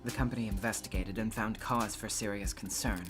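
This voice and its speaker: A man voicing a guarded, formal corporate executive on the witness stand in a game, measured and evasive. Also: lowish voice, fairly even intonation, close to an everyday voice. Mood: guarded, composed. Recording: loud music behind.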